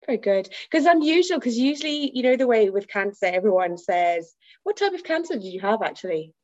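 A woman's voice: on the high side, very animated, a little fast, a little mellow. A woman hosting a podcast, asking questions and giving brief, encouraging replies. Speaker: podcast host. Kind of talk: interviewing. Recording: dull lo-fi audio.

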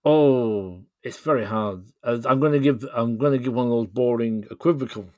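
A man's voice: medium pitch, normal speed, dark tone, no room sound, clean studio sound. A man chatting as an interview guest, explaining things in a thoughtful, hesitant way. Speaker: podcast guest, writer. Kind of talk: casual interview chat.

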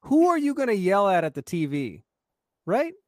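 A man's voice: sits high, huge pitch swings, moderate pace, a little mellow, dry recording. Dry-sounding joking male podcast host. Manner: joking, playfully exaggerated, restarts mid-sentence. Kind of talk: casual sports chat.

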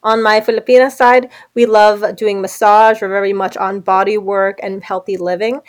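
A woman's voice: mid-range voice, level tone, normal speed. A young woman from Pennsylvania, an esthetician and podcast guest, giving thoughtful, articulate answers in an interview. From Pennsylvania, United States. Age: young adult.

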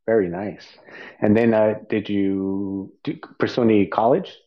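A man's voice: on the low side, steady tone, unhurried, muffled recording. A man interviewing a guest in casual conversation, friendly, with rambling questions and restarts.

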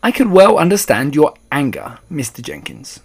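A man voicing a calm, frosty old Norwegian grandmother in a storybook, matter-of-fact and unflustered. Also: on the high side, moderate pace, gravelly, breathy edge, full character voice. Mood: calm, patient.

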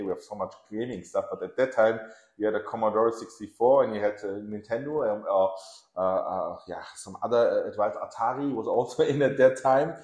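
Low-voiced guy in his 40s, chatty, lots of restarts, roomy echo. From Iran. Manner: relaxed, restarts often, laughs while telling stories. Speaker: podcast guest, lawyer. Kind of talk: casual interview.

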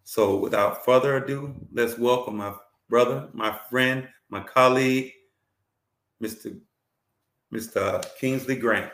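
A man's voice: mid-range voice, fairly even intonation, a little slow, a bit bright, echoey room. A man hosting a talk show, introducing his guest with effusive praise and plenty of fillers. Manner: effusive, repeats words and fillers. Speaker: host.

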